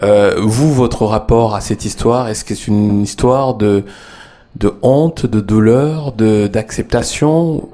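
A man hosting a discussion, asking his questions in a measured, hesitant way. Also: lowish voice, warm tone. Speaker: host. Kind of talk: moderating a discussion.

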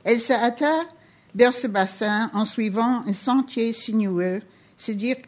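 Female non-native French speaker, author, reads her prose aloud evenly with occasional restarts. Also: medium pitch. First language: not French. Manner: steady literary reading with small restarts. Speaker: author reading her work. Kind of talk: reading a novel excerpt aloud.

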